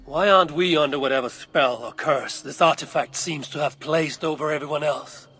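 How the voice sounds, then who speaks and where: A woman's voice: low-pitched, moderate pace, a bit bright, breathy edge. Blunt, proud Norse warrior woman. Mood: brisk, skeptical. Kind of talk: video game cutscene dialogue. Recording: music behind the voice.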